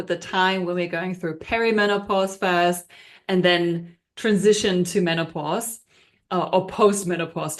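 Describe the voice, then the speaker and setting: A friendly woman in her fifties speaks as a guest coach on a podcast. Her pitch is average for a woman. She explains things informally and conversationally, with an agreeable manner. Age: 50s. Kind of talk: conversational interview talk.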